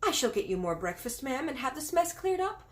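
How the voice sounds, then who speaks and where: A woman putting on a flustered, high-pitched middle-aged maid. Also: very animated, fairly quick. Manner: high, breathless, stammering.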